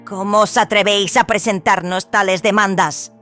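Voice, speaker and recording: Man playing an appalled official, high, sharp voice. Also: fairly even intonation, moderate pace, faint music behind. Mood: outraged. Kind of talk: dramatized sci-fi audiobook dialogue.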